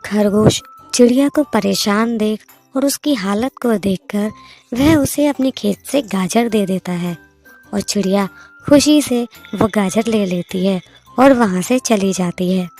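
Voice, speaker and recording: A woman's voice: mid-range voice, dark tone. A woman narrating a children's moral story in a scripted storytelling style.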